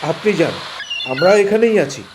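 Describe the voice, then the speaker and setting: A man's voice: high voice, lively intonation, normal speed, noisy background. An actor playing a stranded young man who speaks politely and warily in a radio drama. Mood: wary.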